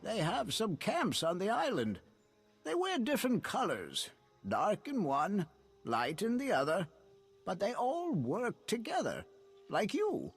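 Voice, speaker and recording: An actor voicing a friendly, overly welcoming alien villager in a video game, who talks in a slow, soothing way. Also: male voice, sits high, wildly swooping pitch, breathy edge, faint music behind, heavily acted. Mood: friendly, curious.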